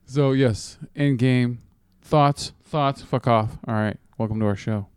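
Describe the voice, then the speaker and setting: A man's voice: mid-range voice, slow pace, smooth, no echo, clean studio sound. A man hosting a casual movie review show, joking around with mock-preacher flourishes and swearing freely. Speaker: podcast host.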